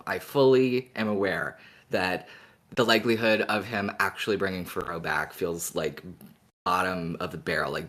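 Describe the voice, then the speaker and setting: Low-voiced man co-hosting a podcast. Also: a bit bright. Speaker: podcast co-host. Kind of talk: casual chat.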